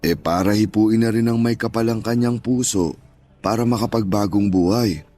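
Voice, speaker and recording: A man playing a kind, humble older Filipino street vendor and father, speaking gently and earnestly in a radio drama. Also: lowish voice, moderate pace, natural voice. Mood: earnest, reassuring. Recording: music bed under the voice.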